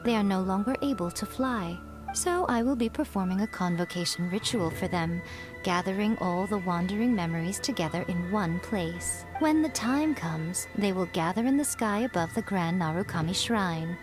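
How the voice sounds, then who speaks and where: An actress playing a playful, smug fox shrine maiden in a video game, teasing and poised. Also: female voice, mid-range voice, moderate pace, natural voice. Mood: explaining calmly. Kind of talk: video game character dialogue. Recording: game music behind.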